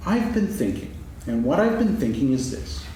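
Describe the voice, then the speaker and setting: Echoey, distant stage recording. Simple-hearted storybook bear played by a man, earnest and musing, highly animated delivery. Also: normal speed, noisy background. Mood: thoughtful, earnest. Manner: earnest, musing, hums in singsong. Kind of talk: staged dramatic reading of a children's story. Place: stage before an audience, seated reading.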